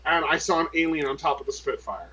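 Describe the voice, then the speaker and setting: Higher-pitched, husky man at game night. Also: lively intonation, quick pace, bright, forceful tone, low-quality recording. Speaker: tabletop game player. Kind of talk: casual game table chat.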